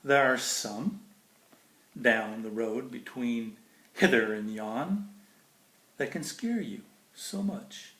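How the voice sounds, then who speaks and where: A man's voice: mid-range voice, slow pace, long pauses, a bit bright. A man reading a children's picture book aloud in a theatrical, playful way, with casual asides about the pictures. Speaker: storybook reader. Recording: roomy echo, faint background noise.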